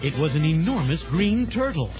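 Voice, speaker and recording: A man's voice: a little high, sing-song, normal speed. A man narrating a children's adventure audio drama with a theatrical announcer's delivery. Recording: dull band-limited old audio drama recording. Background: loud dramatic music.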